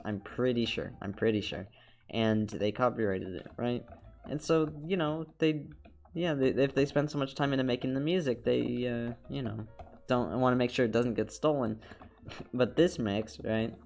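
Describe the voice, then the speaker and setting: A man's voice: mid-range voice, vocal fry, a little mellow. A young man with a congested, nasal voice chatting casually as a streamer while he animates, rambling with repeated words and laughs.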